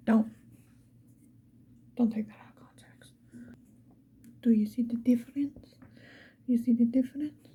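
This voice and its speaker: A woman whispering casually and playfully to the viewer in a chatty ASMR get-ready-with-me style, mostly in a breathy, unvoiced whisper. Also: on the high side, dark tone. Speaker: ASMR video creator.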